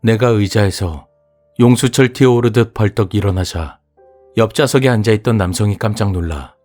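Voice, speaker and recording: A man reading a horror audiobook as its narrator, telling the story in a measured, even way. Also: on the low side. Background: soft music.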